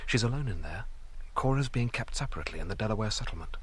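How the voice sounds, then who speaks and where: A man's voice: lowish voice, expressive, fast, a little hiss or hum, lightly acted. A man acting in a radio drama as an earnest, devoted army officer, urgent both in dialogue and in first-person narration.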